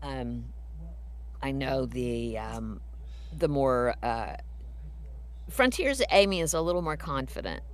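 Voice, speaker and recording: A middle-aged woman, a voice actor answering fan questions on a live stream, reflective with frequent pauses and fillers. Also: very deep voice, lively intonation. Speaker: voice actor guest.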